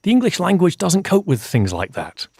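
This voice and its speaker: An older man from Scotland, a forensic scientist, answering interview questions in a measured, explanatory way and repeating key points for emphasis. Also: high-pitched, wildly swooping pitch, gravelly, a little mellow, no room sound, studio quality.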